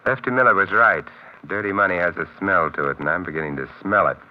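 An actor playing a suave, wry amateur detective with smooth, urbane, drily teasing delivery in 1950s radio drama style. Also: male voice, low voice, quick pace, lightly acted. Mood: cool, businesslike. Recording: muffled vintage radio recording.

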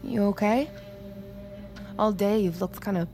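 An actress playing a cocky, irreverent young army recruit in a naturalistic audio drama, sardonic and flippant. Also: female voice, medium pitch, unhurried, warm tone, a little hiss or hum, close to an everyday voice. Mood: wry, teasing. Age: young adult.

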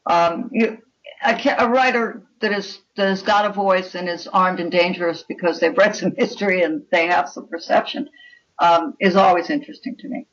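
An older woman speaking as a writer in an interview, opinionated and deliberate in her conversational delivery. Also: fairly low pitch, steady tone, lo-fi sound.

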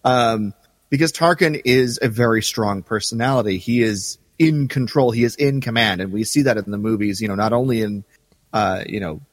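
A man co-hosting a podcast in casual discussion, halting as he goes and repeating words for emphasis. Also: on the low side, dry recording, big-room sound. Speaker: podcast co-host.

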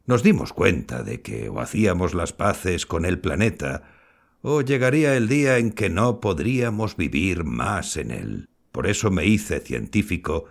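A kindly old grandfather in an audio drama, reflective and modest. His voice sits low with a gravelly edge and rises and falls expressively as he explains things in measured phrases. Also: very animated, exaggerated voice. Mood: earnest, reflective. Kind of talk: scripted audio fiction acting.

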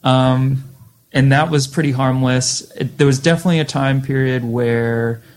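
A man's voice: mid-range voice, normal speed. A man in his thirties, a record label co-founder, chatting loosely in an interview with lots of fillers. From Western Massachusetts, US. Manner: relaxed, rambling, many "like" fillers. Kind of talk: casual interview chat.

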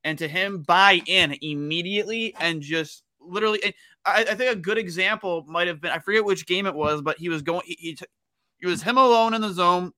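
A man from Western New York co-hosting a casual hockey podcast, talking in a halting way and repeating words as he builds his point. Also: high-pitched, sharp tone, no room sound. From Western New York, United States. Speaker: sports podcast co-host.

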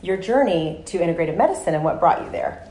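A woman's voice: fairly low pitch, animated, quick pace, mellow, a little hiss or hum. A woman who hosts a podcast and works as a health coach, speaking to listeners and guests. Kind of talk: podcast interview and scripted promo.

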